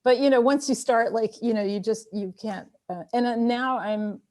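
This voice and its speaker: A woman's voice: a little high, moderate pace, a little mellow. A woman author who grew up speaking Armenian, answering audience questions in a thoughtful, encouraging way with light laughter. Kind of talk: online Q&A conversation.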